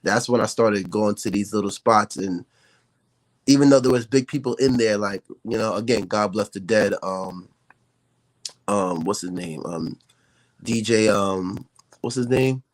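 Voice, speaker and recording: A man's voice: fairly low pitch, unhurried, no echo, low-quality recording. An American man, a rapper, talking casually as a podcast guest with slangy speech and frequent check-in tags. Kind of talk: casual interview chat.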